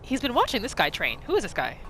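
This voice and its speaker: A woman narrating a role-play gaming video, musing aloud about her character in an expressive, questioning way. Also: on the high side, fast, background noise.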